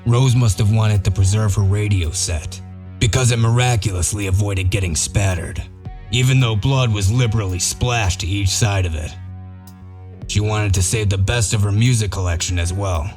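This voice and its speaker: A man playing a gruff, shrewd wolf detective in a comic dub, explaining things in a methodical, sardonic way. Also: on the low side, moderate pace, darkish tone, faint music behind, natural voice.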